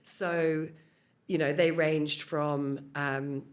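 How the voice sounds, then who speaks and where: A woman's voice: sits low, level tone, extremely slow. A middle-aged woman with an English accent, a psychologist talking through her career in a podcast interview, thoughtful and full of fillers. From Scotland. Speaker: clinical psychologist guest.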